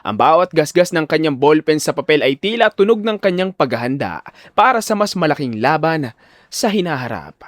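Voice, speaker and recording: A man's voice: a little high, sing-song, moderate pace, no echo, clean studio sound. A man narrating a scripted audio drama as a dramatic storyteller.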